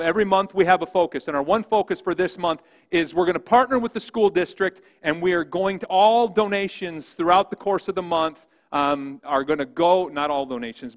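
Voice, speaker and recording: A man preaching a sermon as pastor, with a big voice that swings from loud emphasis to quiet, hushed reading. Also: on the high side, long reverb tail. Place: church sanctuary.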